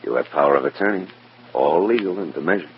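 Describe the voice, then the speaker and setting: An actor playing a matter-of-fact, persuasive FBI agent with a plain-spoken, folksy manner in an old radio drama. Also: male voice, extremely low pitch, expressive, quick pace. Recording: dull, faintly noisy old radio recording.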